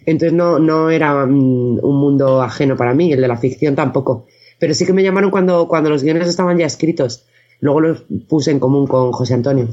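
A woman's voice: low-pitched, a little fast, mellow. A woman from chatting casually as an interview guest. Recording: dull, band-limited sound.

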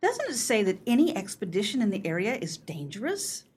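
A woman's voice: medium pitch, big pitch swings, fairly quick, darkish tone, husky. A woman playing a naive, good-natured society clubwoman in a radio play, speaking in an earnest, mild way.

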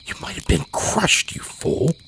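A man voicing an exasperated, gruff woodcutter in a horror story, given to sighing and hissed reprimands. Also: unhurried, fairly bright tone, lightly acted. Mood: hissing anger. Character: gruff, exasperated woodcutter hired to make a coffin.

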